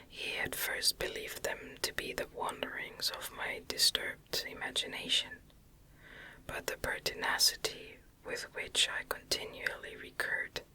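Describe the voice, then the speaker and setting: A woman reads a gothic novel in a soft, breathy ASMR whisper, with an unhurried, calming delivery. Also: poor audio. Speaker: audiobook reader.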